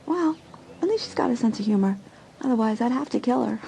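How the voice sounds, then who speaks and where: High, animated teenage girl. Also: fast, vocal fry, a crowd in the background, low-quality recording. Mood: wry. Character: teenage girl, sporty, wry, easily exasperated high schooler. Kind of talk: dramatised audiobook acting.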